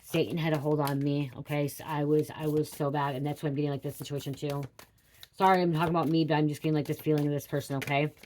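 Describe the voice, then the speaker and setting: A woman's voice: deep voice, little pitch movement, fast talker, a little mellow, a little hiss or hum, poor audio. A middle-aged woman talking casually and confessionally, blunt and sweary, repeating phrases for emphasis. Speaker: host giving a spiritual reading.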